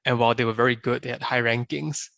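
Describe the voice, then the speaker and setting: Young man, gravelly timbre, dry close sound; measured, reflective guest. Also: mid-range voice, fairly even intonation, sharp tone, no echo, highs cut off. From Singapore. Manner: thoughtful, measured, often adds a questioning "right?". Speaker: podcast guest. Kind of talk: casual interview answers.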